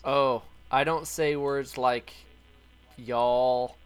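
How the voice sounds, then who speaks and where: A man's voice: mid-range voice, very slow, clean tone. A young man with a Kansas southern accent, chatting casually on a podcast, blunt and profane. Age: young adult. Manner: blunt, profane, animated storytelling. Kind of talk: casual chat.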